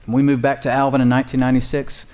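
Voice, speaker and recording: A man's voice: mid-range voice, monotone, very fast, a little mellow, smooth. A male pastor preaching a sermon in a conversational, emphatic way, repeating words and chuckling now and then.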